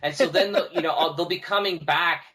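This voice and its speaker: A man talking casually as a podcast guest, a teacher who tells stories in an animated way and acts out what people say. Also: a little high, a little fast. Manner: animated storyteller, acting out quoted remarks. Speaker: podcast guest, teacher and audio drama producer. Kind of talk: casual conversational interview talk.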